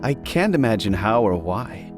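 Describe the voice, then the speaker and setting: An actor playing a modest, wary high elf man with a hesitant manner in a fantasy audio drama. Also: big pitch swings, normal speed, music in the background. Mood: dismissive.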